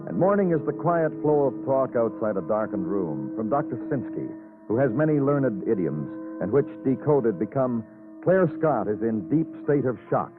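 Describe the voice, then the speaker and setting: An actor playing a world-weary police lieutenant, narrating an old radio noir drama with solemn, deliberate phrasing. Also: male voice, medium pitch, big pitch swings, natural voice. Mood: somber. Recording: tinny old radio recording, music behind.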